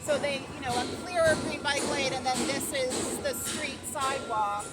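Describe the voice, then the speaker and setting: A middle-aged woman, a local resident advocate, giving a walking tour of her street in an earnest, thoughtful way with many pauses and ums, filmed on a handheld camera outdoors. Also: high voice, fairly even intonation, loud music behind the voice. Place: city street outdoors by the waterfront. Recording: loud street traffic noise. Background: traffic and construction noise.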